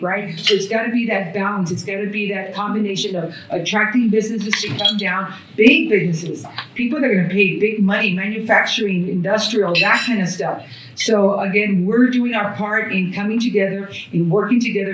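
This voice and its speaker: Panelist, woman, earnest civic speaker, booming hall sound, distant from the mic. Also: slight background noise. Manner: earnest, civic, lists places and agencies. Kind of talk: panel discussion talk. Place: hotel event room at a brunch. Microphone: handheld mic passed along the panel.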